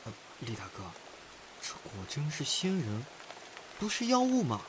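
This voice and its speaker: Fretful village man, rather high and animated, suspicious, crowd behind, fantasy audio drama. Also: normal speed, low-quality recording. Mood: nervous, doubtful. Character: timid, suspicious male villager, fretful follower.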